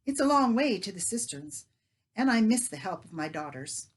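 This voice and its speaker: A woman voicing a fussing, talkative middle-aged baker's wife who rattles on in a shrill, bustling way. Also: sing-song, moderate pace, slightly rough. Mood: busy.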